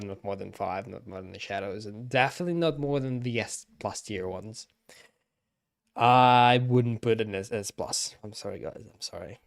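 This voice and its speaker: Slow-paced, hesitant male streamer. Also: mid-range voice. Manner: hesitant, repeats himself, frequent throat clearing. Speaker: livestreamer. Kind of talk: casual livestream ranking commentary.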